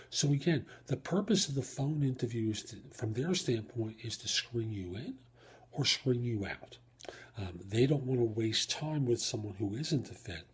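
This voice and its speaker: A man's voice: medium pitch, breathy edge. A man hosting an advice podcast solo, explaining things step by step and posing rhetorical questions. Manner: explanatory, asks rhetorical questions and answers them. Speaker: podcast host and job search coach. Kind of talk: career advice podcast monologue.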